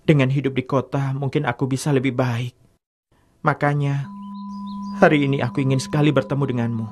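Man as a mid-pitched, hesitant cartoon teenage boy. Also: flat delivery, natural voice. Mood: earnest. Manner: hesitant, halting. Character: shy, sincere, lovestruck teenage boy. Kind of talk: Indonesian cartoon dub.

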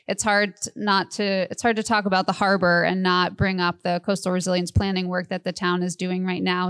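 Smooth-voiced woman hosting a forum. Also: medium pitch, a bit bright, no room sound. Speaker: forum host. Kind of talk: introducing speakers.

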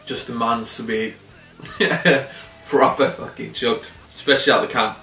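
A man's voice: mid-range voice, fairly even intonation, unhurried, vocal fry, pushed and bright, soft background music. Laid-back swearing man reviewing beer. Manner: laid-back, swears casually, chuckles often. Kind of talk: casual to-camera review. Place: small indoor room by window blinds. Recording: roomy and slightly distant.

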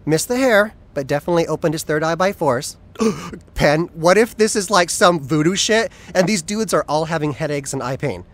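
A flamboyant, loyal male assistant played by a man in an audio drama. His pitch leaps around expressively as he banters with playful, theatrical wit. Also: animated, normal speed, lightly acted. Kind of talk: audio drama acting, conversational.

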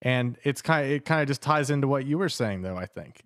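Male podcast panelist, mid-pitched and dry-sounding, casual, tentative speculation. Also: animated, a little fast, no echo. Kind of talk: casual chat, joking speculation.

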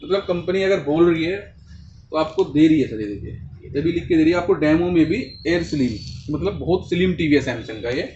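A man in his thirties rattles off TV models and prices in a fast sales patter, keeping his voice a little high. He stands off-mic, so the sound is roomy and somewhat dull. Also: lively intonation, darkish tone, recorded from a distance, a little hiss or hum. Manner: fast salesy patter, addresses the host as sir. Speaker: TV shop salesman. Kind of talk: sales pitch. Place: large TV showroom indoors.

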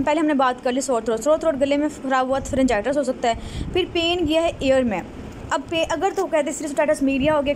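High-voiced woman tutoring. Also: a little mellow, a little hiss or hum, low-quality recording. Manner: reads questions slowly, then explains in quick Hindi-English mix. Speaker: medical tutor. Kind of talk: exam question walkthrough lecture. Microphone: screen-recording voice-over.

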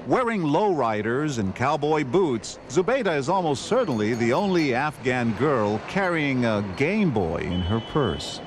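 A man narrating a television news magazine story in a measured, scripted voice-over. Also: mid-range voice, big pitch swings, background noise. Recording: music bed under voice.

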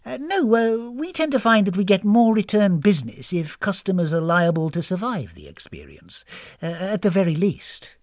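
A woman's voice: mid-range voice, very animated, normal speed, a little mellow, dry recording, studio quality, pitched above the performer's own voice, close to an everyday voice. A woman voicing a friendly, flustered old lady who runs a spa, in an airy, hesitant, over-polite storybook manner. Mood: hesitant, concerned. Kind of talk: storybook character voice.

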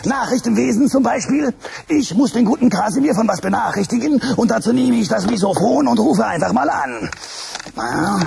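A man voicing an excitable furry puppet who hosts a children's show in a radio play. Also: very high voice, moderate pace, faint music behind, full character voice. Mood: chatty. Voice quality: gravelly, exuberant, sing-song. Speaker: show host within the play.

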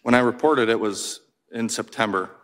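A man in his thirties from Wisconsin testifying at a hearing desk microphone, giving terse, quiet, measured answers. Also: mid-range voice. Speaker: whistleblower witness. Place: congressional hearing room.

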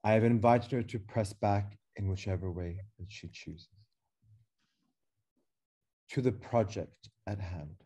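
A man in his thirties reading a prepared keynote as curator over a webcam video call, slow, measured and reflective, with pauses. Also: lowish voice, steady tone, warm tone, breathy edge. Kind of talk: reading a prepared lecture text. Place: dark room indoors.

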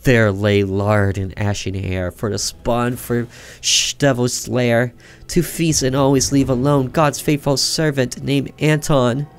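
A man's voice: mid-range voice, steady tone, unhurried, smooth, pitched above the performer's own voice, close to an everyday voice. A man voicing an earnest boy, a frightened but protective older brother, in a horror visual novel. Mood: fearful chanting.

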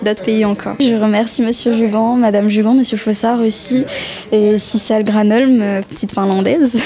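A woman's voice: mid-range voice, fairly even intonation, brisk, dark tone. A young woman student speaking about a school exchange in an interview in a school library, hesitating with fillers. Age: young adult. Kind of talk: interview testimony.

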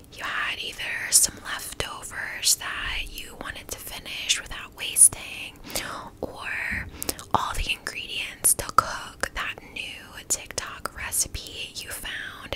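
A woman whispering a guided visualization for ASMR in a breathy, unvoiced whisper, gentle and soothing with a casual rambling manner. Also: slow, rough recording. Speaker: ASMR video host.

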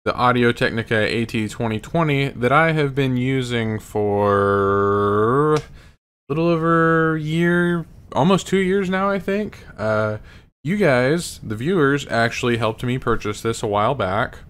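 A man's voice: medium pitch, expressive, unhurried, a bit bright, clear, resonant tone. A man in his thirties reviewing his microphone to a webcam in a small home room, rambling casually and correcting himself. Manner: rambling, self-correcting, apologetic asides. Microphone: studio condenser mic close to face.

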